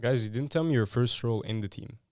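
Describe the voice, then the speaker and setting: A man's voice: mid-range voice, fast, a little mellow, dry recording. Man, podcast host, casual chat, playful questions, dry close sound.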